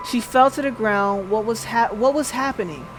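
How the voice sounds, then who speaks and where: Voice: medium pitch, moderate pace, slight background noise. A game streamer reading a visual novel aloud as dramatic narration, sometimes stumbling and rereading.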